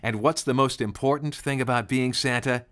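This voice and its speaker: A man narrating a scripted children's story in an expressive, conversational way. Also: mid-range voice, moderate pace. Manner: conversational, expressive, rhetorical questions.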